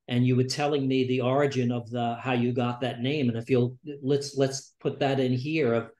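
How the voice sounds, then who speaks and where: Guy interviewing a poet, slow and thoughtful, lots of ums, echoey room. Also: medium pitch, flat delivery, a little mellow. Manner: unhurried, many "uh" and "um" fillers. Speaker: interviewer, poetry journal editor. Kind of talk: casual interview chat. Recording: roomy echo.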